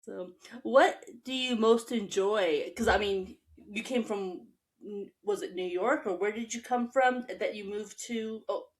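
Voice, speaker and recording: A woman's voice: mid-range voice, unhurried. A woman from Texas and the Midwest hosting an interview in a casual, reflective conversational style. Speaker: interview host.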